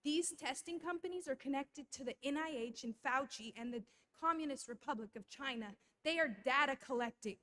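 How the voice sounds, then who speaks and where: A woman's voice: high voice, a little fast, hardly any pauses, bright, forceful tone. A woman giving a public comment at a school board meeting, speaking in a conspiratorial tone and tossing in rhetorical tag questions.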